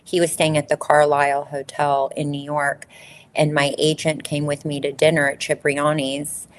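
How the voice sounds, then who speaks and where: A middle-aged woman, a former model, telling her story in an interview with emphatic repetition. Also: low-pitched. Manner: emphatic, repeats phrases for stress. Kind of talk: candid conversational storytelling.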